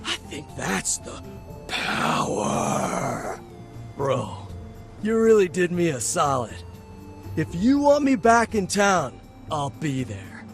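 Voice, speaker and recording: A man's voice: a little high, exaggerated voice. A man voicing a laid-back, big-hearted creature who talks like a slangy surfer bro in a video game.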